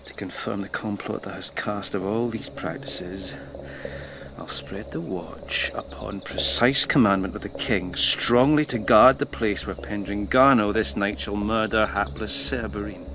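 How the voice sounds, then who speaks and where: A cold, scheming young lord gives smooth, conspiratorial commands in a lowish voice. It is radio verse drama, with clearly audible night insects and ambience around him. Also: male voice, a little slow, background noise. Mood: conspiratorial, commanding. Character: scheming, cold-blooded young Spanish nobleman, villain.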